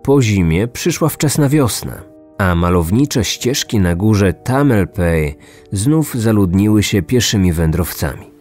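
Low-voiced man narrating true crime over music. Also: animated, measured pace, darkish tone. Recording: music bed under the voice. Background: background music.

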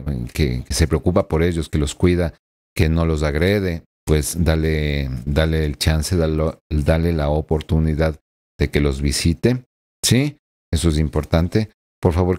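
Low, mellow-voiced man reading tarot. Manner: earnest, addresses the listener directly with frequent tag questions. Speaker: tarot reader. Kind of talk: weekly horoscope tarot reading. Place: small home room with blinds and plants. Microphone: desk microphone in front of him.

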